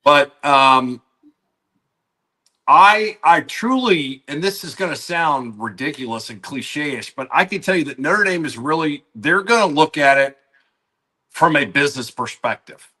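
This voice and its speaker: A man's voice: on the high side, animated, unhurried, sharp tone. A middle-aged man from Atlanta, a guest on a sports talk show, hedging and correcting himself in casual chat. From Atlanta, Georgia. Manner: hedging, self-correcting, talks in restarts.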